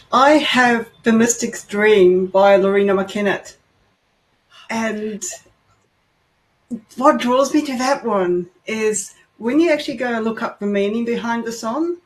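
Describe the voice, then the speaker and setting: A woman's voice: mid-range voice, low-quality recording. A woman guest on a talk show, explaining her thoughts in a casual, thoughtful way.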